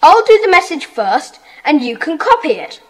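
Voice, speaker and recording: A woman's voice: sits high, very animated. A woman playing a bossy, eager schoolboy who leads a secret society, in a children's audiobook drama. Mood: earnest, organising. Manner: bossy, earnest, brisk.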